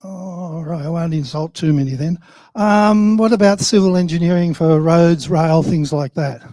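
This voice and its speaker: Older Australian man, high-pitched and mellow. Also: moderate pace, no room sound. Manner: wry, self-deprecating, plain-spoken. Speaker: conference speaker on infrastructure. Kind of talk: informal conference presentation.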